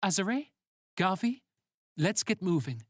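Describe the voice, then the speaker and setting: A narrator voicing a stern, authoritative woman who leads a sci-fi team, brisk and blunt. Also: medium pitch, sing-song, no echo, clean studio sound, pitched above the performer's own voice. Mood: commanding.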